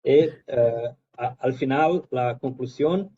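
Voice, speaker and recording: Man, Brazilian Portuguese accent, academic talk, hesitant with restarts, warm low timbre, dull lo-fi sound. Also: slow pace. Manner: hesitant, many fillers and restarts. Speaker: guest lecturer. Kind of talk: academic presentation.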